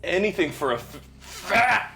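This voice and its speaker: A man playing a deluded, pleading Hollywood star who thinks he is a tiger, halting and stammering, in an audio drama. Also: high voice, sing-song, loud music behind the voice. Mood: strained, halting.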